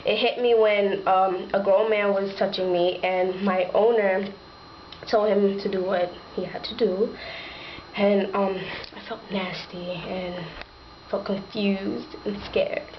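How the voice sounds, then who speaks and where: A woman's voice: mid-range voice, fairly even intonation, slow pace, close to an everyday voice. Hesitant young survivor, played by a woman, plain-spoken and uneasy, in a roomy, noisy student drama recording. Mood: uneasy, hurt. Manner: hesitant, casual youthful phrasing. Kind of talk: student-acted mock interview. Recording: echoey, noisy lo-fi room recording.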